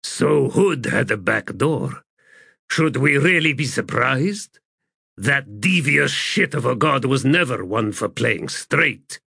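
A man performing a cynical former priest for a fantasy audiobook. He talks with sardonic irreverence, pitched above the reader's narration, close and dry. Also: lively intonation, slow, creaky, sharp tone, breathy edge, dry recording, heavily acted.

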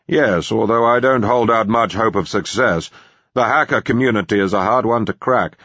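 A man voicing a sober corporate security chief in an audiobook, measured, formal and matter-of-fact. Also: lowish voice, a bit bright, no room sound, highs cut off, pitched above the performer's own voice.

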